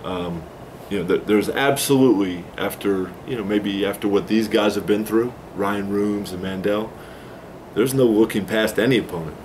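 A man in his forties, a head coach, giving measured, explanatory postgame answers at a press conference table. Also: lowish voice, a little mellow, a little hiss or hum, rough recording. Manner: measured, explanatory, takes responsibility. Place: small press room with a backdrop, indoors.

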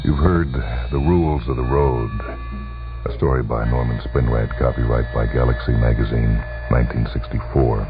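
A man narrating a story for radio in a deliberate, dramatic manner with weighty pauses. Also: extremely low pitch, steady tone. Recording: dull lo-fi old radio recording. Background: music.

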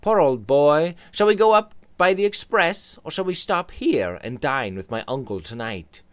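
A man doing a pressing, high young gentleman. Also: sing-song, normal speed, smooth, no echo. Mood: cheery. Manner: pressing, fussing. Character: earnest, persistent young gentleman.

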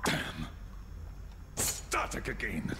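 A man playing a gruff, commanding middle-aged colonel of a special task force in a video game. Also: very slow. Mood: urgent, hopeful. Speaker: military commander. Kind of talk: video game character acting. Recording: echoey game scene with loud noise.

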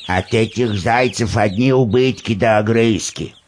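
An actor playing a grumbling old village grandpa in a cartoon, gravelly, muttering folksy complaints. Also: male voice, on the low side, measured pace, a little hiss or hum, lightly acted. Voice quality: creaky, gravelly.

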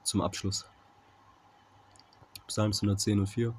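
A man giving an informal Bible commentary in a halting manner, often checking in with a short tag question, on a noisy amateur recording. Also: lowish voice, level tone, breathy edge. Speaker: Bible teacher.

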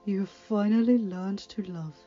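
A woman voicing an ancient, kindly enchantress and old teacher, speaking slowly and fondly. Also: medium pitch, warm tone, muffled recording, soft background music, close to an everyday voice. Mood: gentle.

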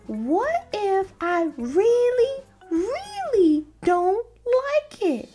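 A woman's voice: very high voice, very animated, drawn-out words, warm tone, faint music behind, voice pushed up, full character voice. A woman voicing an anxious, dramatic, childish cartoon pigeon in a whiny, exclamatory way for a storybook read-aloud. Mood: panicked, fretful. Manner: exclamatory, fretful, theatrical.

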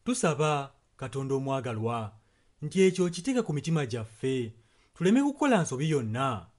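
A man serves as a sermon interpreter. His voice is of average pitch, and he delivers the prayer solemnly, one phrase at a time. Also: sing-song, fairly quick, darkish tone. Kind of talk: consecutive translation of a sermon and prayer.

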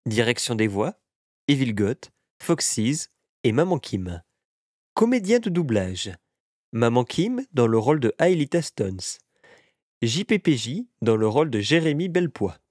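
A man's voice: medium pitch, a little mellow, no echo. A man reading scripted credits as an announcer, close to the microphone.

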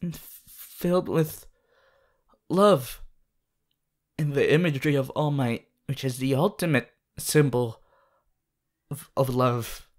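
A woman playing an eager, affectionate teenage boy hero in a fan ASMR roleplay, gushing and halting as she playfully addresses the listener. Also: extremely low pitch, a little mellow. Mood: eager, coaxing. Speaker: voice actor in a fan character audio.

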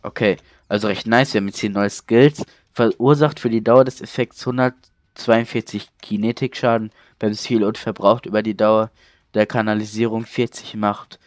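A man's voice: lowish voice, fairly even intonation, moderate pace, no echo, studio quality. A man with a cold giving casual, chatty let's play commentary through a headset, reading out game text along the way. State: stuffy cold.

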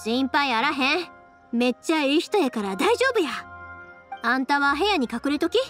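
A woman playing a cheerful, caring, energetic young fireworks maker with a Kansai accent in an anime game. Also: high-pitched, normal speed, soft background music. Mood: determined, reassuring.

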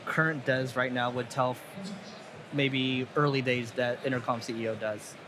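A man's voice: medium pitch, little pitch movement, normal speed, a crowd in the background. A man in his thirties hosting a casual, conversational interview. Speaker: interview host. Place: large indoor conference expo floor. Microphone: headset. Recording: noisy busy expo hall ambience.

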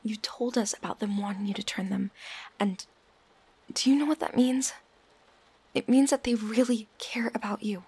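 A woman playing an earnest, concerned young healer in an audio roleplay, speaking emphatically with a breathy voice. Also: on the high side, exaggerated voice. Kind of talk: ASMR audio roleplay acting.

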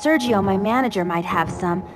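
An actress playing a friendly, excitable young woman who runs a ski chalet in a video game, chatty and expressive. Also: medium pitch, moderate pace, over music, close to an everyday voice. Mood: chatty, bright. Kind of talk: animated video game voice acting.

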